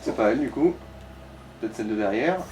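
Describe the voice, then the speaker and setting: A man's voice: medium pitch, expressive, fast, a little mellow, far from the mic, faint music behind, poor audio. A man giving casual, informal gaming commentary, coaching a player and swearing now and then. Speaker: let's play co-host guiding the player.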